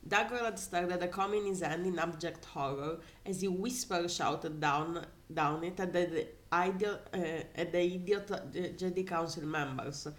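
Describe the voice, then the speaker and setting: A woman reading a story aloud casually, in an animated way, breaking off to add comments of her own. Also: on the low side, normal speed, hardly any pauses. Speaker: fan fiction reader.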